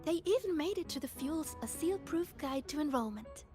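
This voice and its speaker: Young female student, video game. Very high, animated pitch, slow delivery, soft, sweet and earnest. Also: music in the background. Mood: gentle, wistful.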